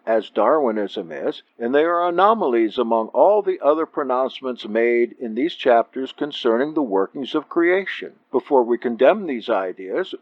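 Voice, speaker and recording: A man narrating an audiobook of an ancient religious text in a formal, deliberate, expressive way. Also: mid-range voice, dry recording, muffled recording. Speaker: audiobook reader.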